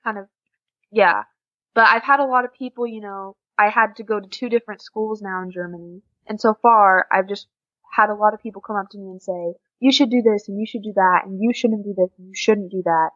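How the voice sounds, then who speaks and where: A woman's voice: medium pitch, fairly even intonation, brisk, a bit bright, no echo, dull audio. A teenage woman from the USA with an American accent, chatting informally and giggly as a podcast guest. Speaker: podcast guest, exchange student. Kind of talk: casual interview chat.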